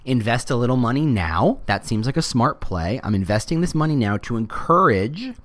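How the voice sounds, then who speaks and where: A man's voice: mid-range voice, lively intonation, moderate pace, a bit bright, natural voice. A man voicing an eager, gullible brand marketer, naively pleased with himself, in a casual talk. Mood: naive eagerness.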